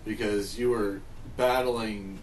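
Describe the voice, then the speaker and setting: A man's voice: lowish voice, steady tone, slow pace. A man hosting a podcast, moderating a casual chat among friends and moving the discussion along. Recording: echoey room with background noise.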